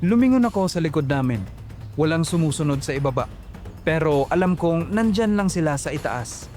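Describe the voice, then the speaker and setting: A man's voice: fairly high pitch, expressive, normal speed, a little mellow, soft background music. A young man narrating a horror story in a tense, suspenseful telling.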